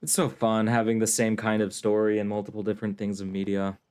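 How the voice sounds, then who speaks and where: A man streaming a game with casual, wry, deadpan commentary. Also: lowish voice, quick pace, smooth. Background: faint game music.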